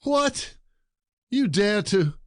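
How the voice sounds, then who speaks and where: Casting: male actor as indignant, pleading husband in classic comedy, fairly high voice, dry close sound. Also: sing-song, drawn-out words, no room sound, full character voice. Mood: alarmed disbelief. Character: wronged, exasperated husband, protesting in vain. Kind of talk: audio play of classic stage comedy.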